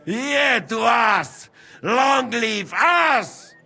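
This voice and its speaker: An actor playing a loud, exuberant foreign mercenary with an Eastern European accent and broken English in a video game. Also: male voice, very high-pitched, lively intonation, extremely slow, vocal fry, full character voice. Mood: merry, drunken. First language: not English. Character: boisterous foreign mercenary, hearty drinking companion in broken English. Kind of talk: video game cutscene acting.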